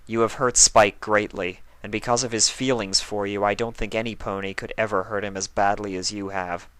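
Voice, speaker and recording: A man voicing a stern but caring pony princess of the night who talks softly and gravely. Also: lowish voice, little pitch movement, moderate pace, no room sound, close to an everyday voice.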